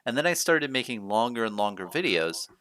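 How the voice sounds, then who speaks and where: A man's voice: medium pitch, very animated, brisk, fairly bright tone. A man hosting a casual talk show, chatting loosely with lots of "you know" fillers. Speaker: podcast host. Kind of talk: casual chat.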